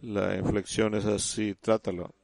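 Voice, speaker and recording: A man giving a sermon in halting speech, often restarting and repeating words as he teaches the congregation. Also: fairly low pitch, flat delivery, creaky, a little mellow, no room sound. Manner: addresses listeners as "usted". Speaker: church speaker.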